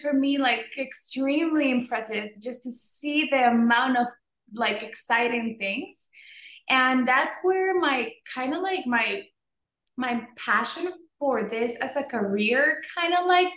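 A woman's voice: high voice, a little slow, fairly bright tone. A woman in her thirties from Venezuela with a Venezuelan accent, answering interview questions in a chatty way full of "like" and "um". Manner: chatty, many fillers like "like" and "um". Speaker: beauty entrepreneur guest. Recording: echoey room.

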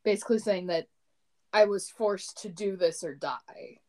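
A young woman discussing poems casually, her voice mellow and warm. She speaks softly, with lots of "like," and keeps the talk going with quiet agreement. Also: medium pitch, measured pace. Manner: soft-spoken, frequent murmured agreement. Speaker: co-host discussing poetry. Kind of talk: casual chat.

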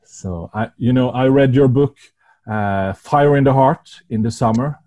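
A man from Norway, native speaker of Norwegian, hosting an English-language podcast interview with a Norwegian accent. He is effusive and quick to exclaim praise. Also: medium pitch, warm tone. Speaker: podcast host, prayer house leader.

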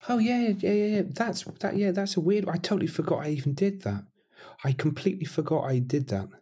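A man's voice: high-pitched, lively intonation, a little fast, dark tone, husky. A middle-aged man, a musician being interviewed, talking casually and rambling with lots of restarts and self-deprecating asides.

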